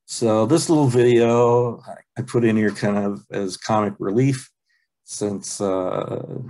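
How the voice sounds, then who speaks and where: A man presenting a software training webinar over a video call, relaxed and halting, with many ums and uhs. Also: on the low side. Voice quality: plain, unexciting voice. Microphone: computer mic on a video call.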